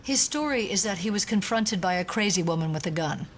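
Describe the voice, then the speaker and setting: Rational female agent, played by an actress, medium pitch, firm and loyal, audio drama, slight background noise. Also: animated, a little fast, close to an everyday voice. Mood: defensive. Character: fiercely protective female FBI agent, rational, insistent partner. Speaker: FBI agent.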